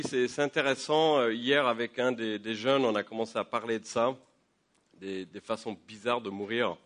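A pastor gives a sermon to his congregation while he has a head cold, at a middling pitch for a man. His delivery is conversational and full of small restarts and fillers. At its peaks it rises in pitch and loudness. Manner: conversational, with frequent fillers and repeated words; rises in pitch and volume when building to a point. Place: church.

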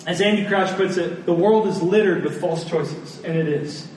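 A roomy, slightly noisy recording of a man delivering a sermon. He is a pastor with a high-set voice, earnest and emphatic, repeating his key phrases for weight. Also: moderate pace, darkish tone. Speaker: pastor preaching to his church. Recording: roomy, distant mic, faint noise.

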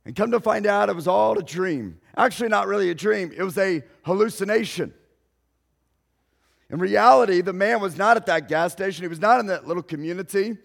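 A male pastor, telling a story in a sermon slowly and deliberately, raising his voice at dramatic moments. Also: sits high, gravelly. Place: church sanctuary. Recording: long hall reverb.

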